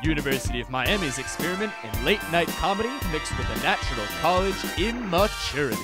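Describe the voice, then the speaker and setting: A male announcer introduces a talk show over loud instrumental music. His voice is a bit high and swings animatedly, stretching out the introductions. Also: sing-song, fast talker.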